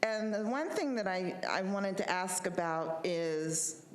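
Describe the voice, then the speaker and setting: A woman with a loud voice at a public meeting, asking pointed, direct questions. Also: on the low side, expressive, unhurried. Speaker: meeting participant. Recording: echoey meeting room, faint noise.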